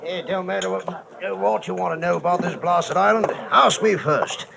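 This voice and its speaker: An actor playing a nosy old expatriate sea captain, folksy and gravelly, in a vintage radio drama. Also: male voice, high voice, moderate pace. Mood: boastful, folksy. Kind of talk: 1940s radio acting. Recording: noisy vintage radio recording.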